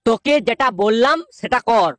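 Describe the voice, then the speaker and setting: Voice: mid-range voice, normal speed, over loud music, lightly acted. A performer voicing a furious, foul-mouthed cheated husband, a young village man in a comic cartoon dub, aggressive and accusing. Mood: angry, accusing.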